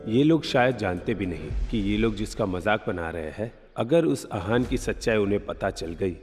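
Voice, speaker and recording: Man as brooding loyal servant, long reverb, low pitch, solemn, audio drama. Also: animated, moderate pace, a little mellow, faint music behind, natural voice. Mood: bitter, brooding. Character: loyal male servant, grieved, brooding in thought. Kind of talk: Hindi audio drama acting.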